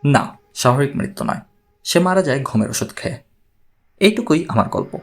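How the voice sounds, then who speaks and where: A man playing a troubled, courteous man who gravely recounts his own strange story in an audiobook. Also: on the high side, lively intonation, moderate pace. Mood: pained, restrained.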